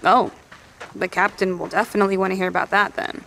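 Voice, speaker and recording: An actress playing a helpful, matter-of-fact military lieutenant in an audio drama, speaking briskly and plainly. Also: female voice, medium pitch, steady tone, gravelly, a bit bright, a little hiss or hum, rough recording. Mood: businesslike, curious. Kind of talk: naturalistic audio drama dialogue.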